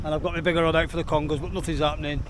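A man's voice: on the high side, flat delivery, racing. A man hosts a casual outdoor fishing vlog, chatting to viewers in a jokey, playful, slangy way. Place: outdoors on a sea breakwater at night. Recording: noisy outdoor recording on a waterside.

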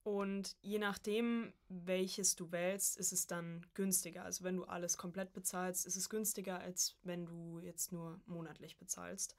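Young woman, lowish voice, chatting casually. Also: flat delivery, unhurried, hardly any pauses, darkish tone. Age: early 20s. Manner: chatty, informal storytelling. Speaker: podcast guest, voice acting student. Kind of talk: casual conversational interview.

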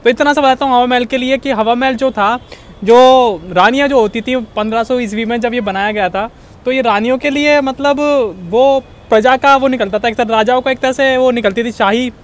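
A man, presenting a casual vlog to camera on an open rooftop. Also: very high-pitched. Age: 20s. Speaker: vlogger. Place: open rooftop cafe above a busy street. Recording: clearly noisy outdoor recording, street traffic.

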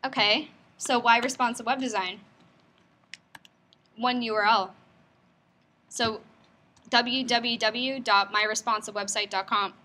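A woman giving a conversational tech talk at an office meetup, friendly and upbeat, with plenty of fillers and questions to the audience. Her voice sits a little high and sounds bright and forceful. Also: fairly even intonation, slow, pauses often. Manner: casual with frequent fillers. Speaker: meetup presenter. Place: company office meetup room.

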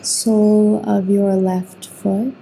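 A woman guiding a sleep meditation with soothing, unhurried instructions and long pauses between phrases. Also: mid-range voice, level tone, gravelly, dark tone, a little hiss or hum, low-quality recording. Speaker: meditation guide.

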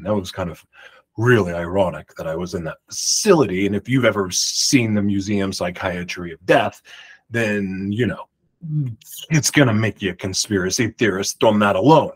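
Husky-voiced man telling a rambling story. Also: lowish voice. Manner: rambling, emphatic, loud projection. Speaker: vlogger. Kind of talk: personal storytelling to camera. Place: home room with pictures on the walls. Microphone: large studio mic beside him.

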